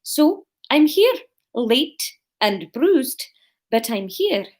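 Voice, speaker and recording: A woman's voice: high voice, big pitch swings, drawn-out words, a little mellow, voice pushed up, full character voice. A woman voicing a cheery, ageing conspirator with a Scottish accent, explaining things in a live story reading. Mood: resigned. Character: cheery old conspirator, Scottish accent, bruised but unbothered.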